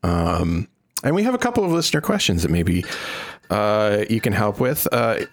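A man's voice: fairly low pitch, sing-song, normal speed. A man hosting a podcast in a playful way, sometimes slipping into mock-dramatic speech. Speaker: podcast host. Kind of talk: casual podcast chat.

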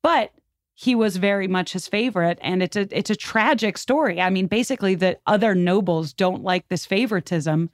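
A woman's voice: medium pitch, normal speed, no echo, studio quality. A woman podcast guest telling history in an informal, conversational, explanatory way with plenty of filler words. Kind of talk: conversational storytelling about history.

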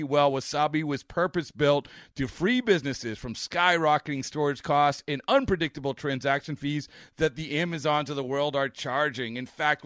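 A man's voice: fairly high pitch, a bit bright, dry recording, clean studio sound. A man delivering a scripted sponsor read for a podcast. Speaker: ad presenter.

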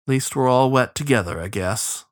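A man's voice: mid-range voice, lightly acted. A man voicing an easygoing, protective otter riverboat captain in a storybook, with folksy, rustic talk. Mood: reassuring. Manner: folksy, unhurried, rustic grammar.

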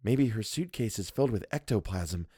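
A man reading a story and voicing an anxious young woman in a soft, worried, inward tone. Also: on the low side, expressive, normal speed, husky, no room sound, studio quality.

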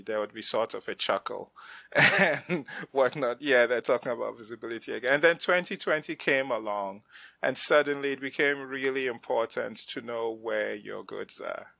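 A middle-aged man from West Africa, a venture investor speaking as a guest in a casual, animated interview, often restarting his sentences. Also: medium pitch, gravelly, no echo. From West Africa (Ghana, Nigeria). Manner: animated, frequent restarts and laughs. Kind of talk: conversational interview.

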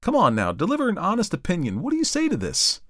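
A man's voice: on the high side, sing-song, moderate pace, no room sound, studio quality, pitched above the performer's own voice. A man voicing a talkative, fretful middle-aged reverend who pleads and exclaims. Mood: exasperated.

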